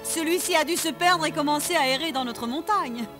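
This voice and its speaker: A woman's voice: high-pitched, fairly quick, music in the background, lightly acted. A woman playing a flustered, worried ski lodge manageress in a plaintive, dramatic way for a storybook dub. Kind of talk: storybook read-along dub.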